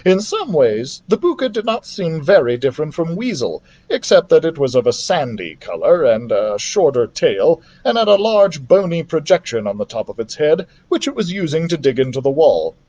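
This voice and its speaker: A man reading a children's adventure novel aloud as a story-time host, with an animated, theatrical delivery. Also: fairly high pitch, normal speed, few pauses.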